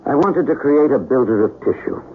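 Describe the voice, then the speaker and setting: An actor playing a guilt-ridden, earnest scientist in a 1970s radio drama, with a brooding, hesitant, dramatic delivery. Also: male voice, medium pitch, animated, fairly quick, slight background noise, natural voice. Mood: regretful, defensive. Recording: tinny, band-limited 1970s radio broadcast with music.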